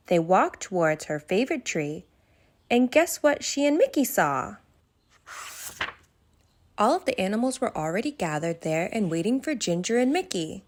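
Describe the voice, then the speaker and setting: A woman narrating a bedtime story aloud for children in an expressive way that speaks directly to young listeners. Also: mid-range voice.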